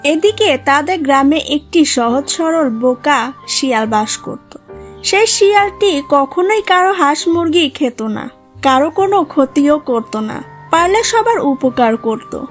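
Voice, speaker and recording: A woman narrates a cartoon fairy tale from a script. Her voice is high and the delivery very slow, over background music. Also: lively intonation.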